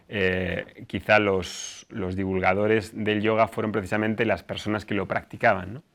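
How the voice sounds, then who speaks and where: A man hosting and introducing a student discussion, explaining things informally and somewhat haltingly. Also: on the low side, fairly bright tone. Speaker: host, teacher.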